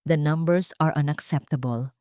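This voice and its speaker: A woman's voice: sits low, moderate pace, mellow, clear, resonant tone, no echo, clean studio sound, lightly acted. A woman voicing a cold, ruthless male billionaire boss in an audio story, clipped and commanding.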